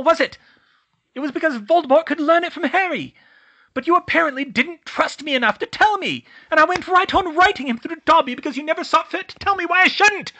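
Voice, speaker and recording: A sharp-tongued teenage witch girl with a tight, bright, high voice, put on by a male reader. She pushes her words out loudly, and her pitch leaps and dips with every thought. This is a storybook reading. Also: heavily acted. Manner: high, strained, outraged outbursts. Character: young teen witch girl, clever, anxious, quick-tempered.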